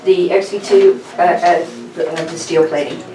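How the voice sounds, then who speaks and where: A woman's voice: on the low side, fairly even intonation, normal speed, a crowd in the background. A woman reading scripted stage directions as narrator at a table read. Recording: echoey room, distant mic, people around.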